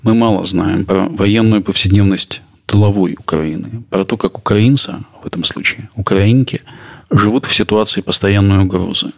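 Male podcast host, husky with heavy fry, scripted narration. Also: fairly low pitch.

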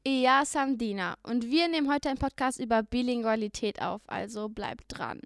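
A woman's voice: fairly high pitch, expressive, normal speed, creaky. An eighteen-year-old woman from Germany, co-hosting a podcast in a casual chat full of youthful slang. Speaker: podcast co-host.

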